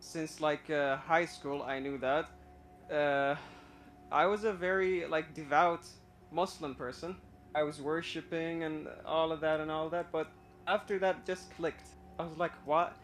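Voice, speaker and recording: A nervous young man from Iraq with a voice a bit higher than most, stumbling through his story with fillers and restarts. Faint music plays behind him. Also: fairly even intonation, measured pace, a bit bright. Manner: hesitant, full of fillers and restarts; nervous, admits stage fright. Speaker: caller on a live stream. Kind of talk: casual personal story.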